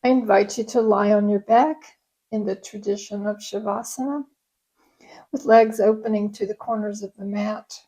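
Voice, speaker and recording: A woman yoga nidra instructor leading a guided meditation in a gentle, soothing, unhurried way. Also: mid-range voice, steady tone, rough recording.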